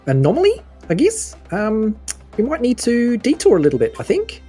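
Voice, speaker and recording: A woman's voice: fairly high pitch, huge pitch swings, normal speed, mellow, breathy edge, soft background music, heavily acted. A woman voicing an easygoing, apologetic goblin pilot in a tabletop game, hesitant and matey, with a New Zealand accent.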